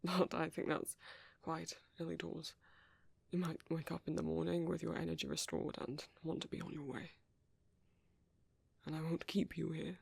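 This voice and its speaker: A performer voicing a gentle, reserved British vampire priest in soft, hesitant, breathy ASMR roleplay. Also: mid-range voice, no room sound, full character voice.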